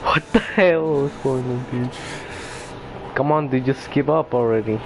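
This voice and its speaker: A man with a medium-pitched voice reacts casually to a video game. His talk is unhurried, slangy and exclamatory, with clear background noise. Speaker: gamer, video creator. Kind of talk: casual gameplay reaction commentary.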